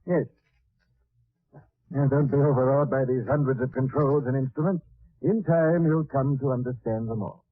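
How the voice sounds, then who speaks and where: A man's voice: medium pitch, steady tone, moderate pace, pauses often, dry recording, close to an everyday voice. A man playing a reassuring professor who explains things precisely to a young space traveler in an old radio drama. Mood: helpful, instructive. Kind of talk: 1940s radio drama acting. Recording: tinny old radio recording with hiss.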